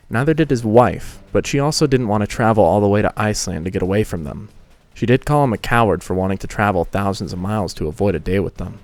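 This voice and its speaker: A man's voice: on the low side, a little mellow. A man hosting a fan fiction reading and narrating in a casual style. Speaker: host and fan fiction reader.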